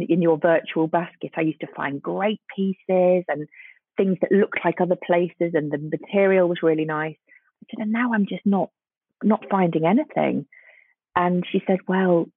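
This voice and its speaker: Guest woman, 40s, gravelly and hoarse, heard over a tinny phone line. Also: fairly low pitch, no room sound. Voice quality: husky, gravelly. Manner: chatty, repeats words as she thinks. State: losing her voice. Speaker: guest, fashion journalist. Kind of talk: casual interview chat.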